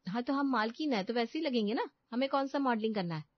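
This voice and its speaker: A woman's voice: a little high, animated, fast, no room sound, lo-fi sound, pitched above the performer's own voice. A woman playing a bossy, haughty housewife in a story, scolding and plain-spoken. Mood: huffy. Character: bossy middle-class housewife, skeptical employer of a maid.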